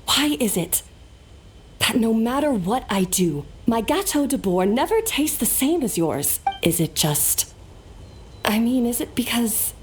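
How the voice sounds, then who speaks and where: A woman's voice: fairly high pitch, a little hiss or hum. A woman playing a remorseful, insecure head chef in a video game, hesitant and self-reproaching. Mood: anguished. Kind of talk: English video game voice acting.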